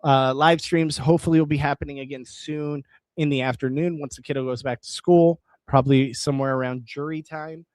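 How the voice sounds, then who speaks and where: A man's voice: fairly high pitch, steady tone, fairly quick, a little mellow, dry recording. Chatty male streamer, voice wearing thin. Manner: rambling, reads chat aloud, restarts words. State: voice going hoarse. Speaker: live stream host. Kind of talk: casual live stream chat.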